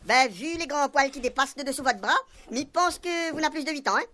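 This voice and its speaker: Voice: sits high, animated, fairly quick, noisy background. An actor in a comic radio serial playing a simple, chatty police sergeant who loves silly jokes, speaking French with a Réunion Creole accent in rambling, repetitive folksy phrases.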